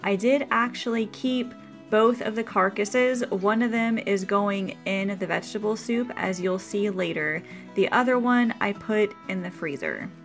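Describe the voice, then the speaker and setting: A woman's voice: medium pitch, a little slow, soft background music. A woman hosting a cooking video, explaining her meal prep step by step in a chatty, practical voice-over.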